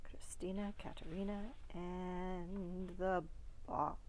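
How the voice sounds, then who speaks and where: A woman reading a children's picture book aloud in a deliberate way, pausing between short phrases. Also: lowish voice, flat delivery, darkish tone, a little hiss or hum, low-quality recording. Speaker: storybook reader.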